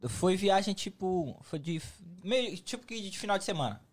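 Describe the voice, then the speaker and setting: Man, Brazilian, podcast host, slangy storyteller, high-pitched. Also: expressive, normal speed. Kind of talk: casual podcast chat.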